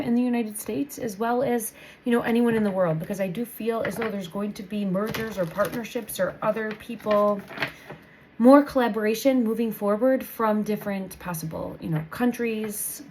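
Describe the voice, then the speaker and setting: A woman's voice: on the high side, background noise. A woman recovering from a head cold, giving a casual spoken tarot reading. She thinks aloud as she goes, with restarts and repeated phrases. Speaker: tarot reader.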